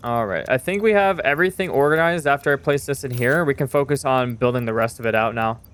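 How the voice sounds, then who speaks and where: Smooth-voiced young man chatting on stream. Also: medium pitch, fast talker, fairly bright tone. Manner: rambling, loose and frank, with lots of "like" and swearing. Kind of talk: casual live-stream chat while gaming.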